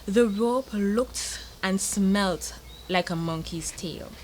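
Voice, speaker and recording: A woman's voice: mid-range voice, slow, darkish tone, slight background noise. A young woman from Montserrat with a Caribbean accent, narrating a children's bedtime story.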